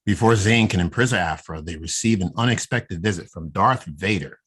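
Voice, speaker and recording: A man co-hosting a casual podcast, reading a story recap in a steady, plain way with small asides. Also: low voice, fairly quick, breathy edge. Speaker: podcast co-host.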